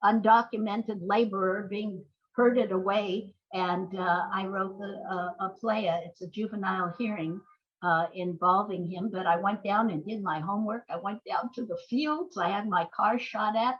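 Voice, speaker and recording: An older woman with an American accent talks reflectively as a guest in an interview. She is measured and articulate, with a medium-pitched voice. The recording is somewhat dull and lo-fi. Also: steady tone. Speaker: guest, playwright. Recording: dull, lo-fi sound.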